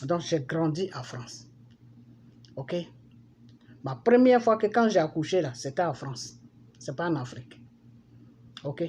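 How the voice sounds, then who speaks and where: A middle-aged woman with an Ivorian accent delivering an impassioned, forceful political monologue, scolding and repeating phrases for emphasis. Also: deep voice, lively intonation, unhurried, long pauses. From Ivory Coast. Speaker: political commentator. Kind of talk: impassioned political monologue addressed to public figures. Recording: noisy amateur recording with background ambience.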